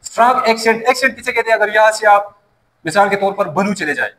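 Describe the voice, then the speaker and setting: A man's voice: sits high, lively intonation, quick pace, bright, forceful tone, slight background noise, rough recording. A man, teaching a safety class in a classroom and answering his own questions as he explains. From Pashto-speaking region of Pakistan. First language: Pashto. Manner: explains by asking questions and answering them himself. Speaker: safety course instructor. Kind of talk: classroom lecture.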